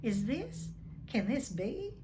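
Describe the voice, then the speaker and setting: A woman's voice: on the high side, big pitch swings, slow, noisy background. A woman voicing a reverent, awed old male barman in a storybook reading. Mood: amazed.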